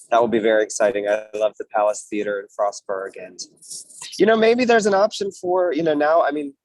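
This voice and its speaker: A man's voice: medium pitch, darkish tone, dry recording, rough recording. A man making casual, conversational remarks as a participant in a theater discussion.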